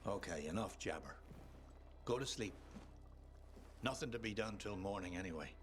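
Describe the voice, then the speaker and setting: A man's voice: deep voice, animated, normal speed, a little hiss or hum. An actor playing a blunt, world-weary middle-aged ex-soldier who mentors a younger man in a video game. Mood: wary. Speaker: veteran survivor mentor. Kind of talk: video game cutscene acting.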